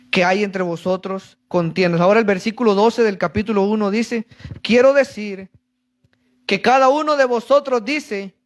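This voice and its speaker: High-voiced preacher reading scripture. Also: male voice. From Central America. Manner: reads scripture then explains, asks congregation for answers. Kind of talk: sermon.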